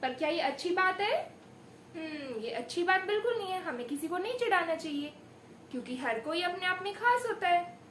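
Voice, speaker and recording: A woman teaching young children in an expressive storytelling style, with theatrical emphasis and rhetorical questions. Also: extremely high pitch, normal speed. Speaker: primary school teacher giving a demo lesson. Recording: echoey room with background noise.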